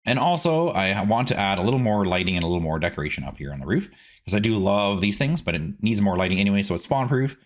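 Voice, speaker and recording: A man giving casual gameplay commentary as a streaming host, chatty and animated, rambling as he goes. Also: lowish voice, quick pace.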